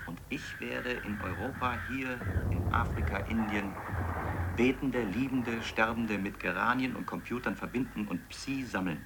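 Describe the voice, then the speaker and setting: A man acting an eccentric, obsessed scientist who thinks aloud in a reflective, halting, explanatory way in a radio drama. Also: fairly low pitch, noisy background, close to an everyday voice. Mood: quiet, determined. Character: obsessed eccentric male scientist, musing, earnest, self-doubting. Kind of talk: radio drama monologue in letter form.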